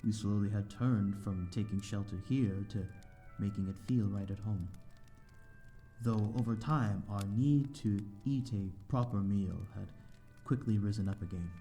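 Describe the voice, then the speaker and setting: A man acts out a lonely gentleman vampire for an ASMR audio story. His voice is low and very dark and velvety. He speaks with old-fashioned courtesy and a halting formality, with music playing behind him. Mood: genteel, reminiscing. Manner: formal, old-fashioned, genteel, hesitant phrasing. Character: lonely, courteous male vampire living in an abandoned manor. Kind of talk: ASMR audio roleplay, addressing the listener.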